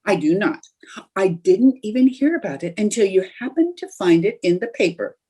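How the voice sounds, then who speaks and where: A woman's voice: medium pitch, very animated, normal speed, dark tone, breathy edge. A woman playing a scheming, stubborn, stagestruck housewife in old-time radio comedy, coaxing and indignant in a theatrical way. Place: remote video-call production.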